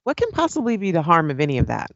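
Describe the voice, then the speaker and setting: A woman's voice: fairly low pitch, wildly swooping pitch, rapid-fire, a bit bright, dry recording, dull audio, heavily acted. An actress playing a polite, professional female talk show host in an audio play. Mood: brisk, professional.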